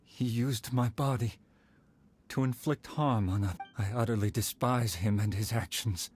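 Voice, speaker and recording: A man playing a dignified, stern but cooperative warrior in a video game, speaking in a formal, measured way. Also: mid-range voice, darkish tone, a little hiss or hum, natural voice. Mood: resentful, resolute. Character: dignified male warrior, wronged victim, stern yet cooperative.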